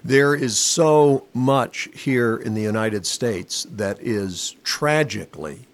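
A man's voice: mid-range voice, expressive, slow, dry recording. A man from western North Carolina hosting an interview, affirming his guest and telling stories of his own. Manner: warm affirming interjections, storytelling. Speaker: interview host. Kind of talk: conversational interview.

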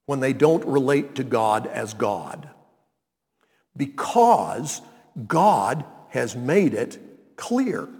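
A man, preaching a sermon and reading scripture, deliberate and emphatic. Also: mid-range voice, darkish tone. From North Carolina, US. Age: 90s. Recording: long reverb of a large hall.

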